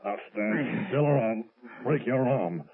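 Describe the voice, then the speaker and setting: An actor playing a tough, blustering police commissioner in a 1930s radio drama, barking out demands in a streetwise manner. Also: male voice, mid-range voice, animated, measured pace, vocal fry, a loud crowd. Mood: commanding. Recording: tinny band-limited vintage radio recording.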